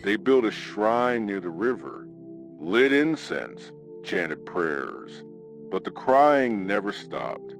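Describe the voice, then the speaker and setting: A man hosting a scripted horror radio show, telling a ghost story in a dramatic, ominous way with weighted pauses. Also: lowish voice, very animated, gravelly, over music.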